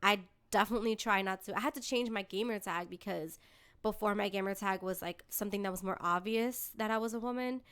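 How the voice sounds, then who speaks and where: A woman's voice: mid-range voice, fairly bright tone, clear, resonant tone. A woman hosting a podcast in casual chat, informal and giggly, swearing freely. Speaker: podcast host.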